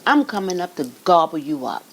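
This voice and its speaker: A woman's voice: lowish voice, big pitch swings, brisk. A woman doing a mean, greedy storybook troll. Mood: gruff, menacing. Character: mean greedy male troll under a bridge.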